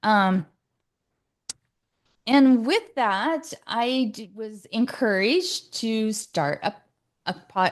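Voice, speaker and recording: Woman host, casual online chat, rambling with fillers and restarts. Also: mid-range voice. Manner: chatty, many fillers and restarts.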